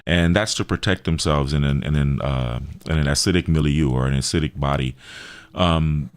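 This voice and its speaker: Very deep-voiced man, halting delivery. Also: clean tone, dry recording. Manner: halting, hesitant phrasing with repeated words. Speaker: radio show host and health practitioner. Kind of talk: explanatory radio interview talk.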